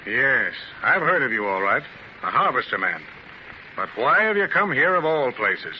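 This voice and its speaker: An actor playing a gruff, blunt, wary leading citizen in a 1930s radio drama. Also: male voice, mid-range voice, big pitch swings, normal speed, lightly acted. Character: gruff, blunt male city bigwig, wary and discouraging. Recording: muffled, noisy 1930s radio transcription.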